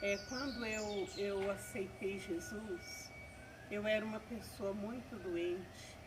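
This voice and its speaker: A woman's voice: medium pitch, fairly even intonation, slow pace, distant mic, background noise. A woman in her seventies giving an earnest church testimony, often adding "né?" and praising God, recorded on a country property. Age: 70s.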